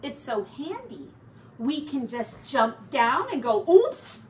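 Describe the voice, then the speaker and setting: A woman's voice: high voice, very animated, takes it slowly, darkish tone, full character voice. A middle-aged woman voicing a cheerful, pushy, oblivious male pack rat in a storybook, with bouncy exclamations. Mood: breezy, cheerful. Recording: roomy, distant camera mic, faint noise.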